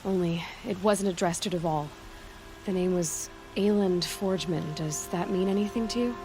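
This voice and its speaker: An actress playing a young, resolute hunter in a video game, direct and observant. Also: female voice, on the low side, moderate pace, a little hiss or hum, natural voice. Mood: focused, practical. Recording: loud game ambience behind voice.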